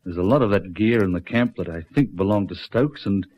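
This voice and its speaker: A man plays a firm country sergeant in a radio play. His voice is fairly low, his questioning blunt and deliberate. The recording sounds dull and lo-fi. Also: natural voice. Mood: grave, urgent. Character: firm, no-nonsense male country police sergeant. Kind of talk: radio drama acting.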